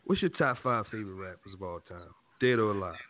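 A man's voice: on the low side, lively intonation, fast talker, slight background noise. Enthusiastic male host on a tinny line. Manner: enthusiastic, slangy interviewer. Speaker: radio show host. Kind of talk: casual radio interview. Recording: phone-like narrow sound.

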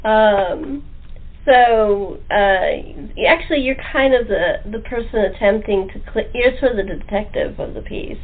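A woman's voice: mid-range voice, measured pace. A woman hosting a casual interview chat with a cast, often chuckling as she talks. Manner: chuckles often while talking. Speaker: host interviewing a radio play cast. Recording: tinny phone-line sound.

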